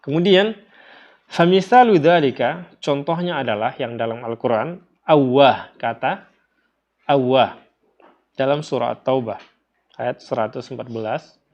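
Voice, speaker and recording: A man's voice: mid-range voice, measured pace, pauses often, darkish tone. A man with an Indonesian accent teaching in an informal lecture style, explaining step by step, repeating phrases and posing questions to his listeners. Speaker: religious teacher. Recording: dull, lo-fi audio.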